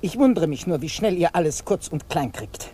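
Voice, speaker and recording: A woman's voice: fairly low pitch, lively intonation, moderate pace, mellow, breathy edge. An actress playing a tyrannical, tight-fisted businesswoman aunt in a radio play, scolding with sarcasm and command. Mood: sarcastic, exasperated. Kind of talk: 1950s German radio play acting. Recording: faintly noisy old 1950s radio recording.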